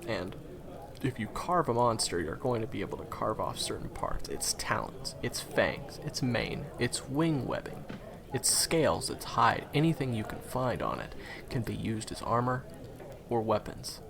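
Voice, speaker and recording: A man's voice: mid-range voice, sing-song, a little slow, gravelly. A man playing a friendly, chatty guide to monster hunters in an audio roleplay, explaining things casually and reassuringly straight to the listener. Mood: helpful, explaining. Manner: casual, hesitant asides, explanatory. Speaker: voice roleplay performer. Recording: crowd chatter behind the voice. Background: busy crowd ambience.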